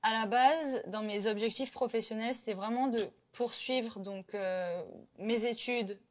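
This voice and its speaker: A woman's voice: a little high, measured pace. A woman in her twenties from Côte d'Ivoire, chatting as an interview guest with lots of fillers and restarts. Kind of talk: casual interview chat.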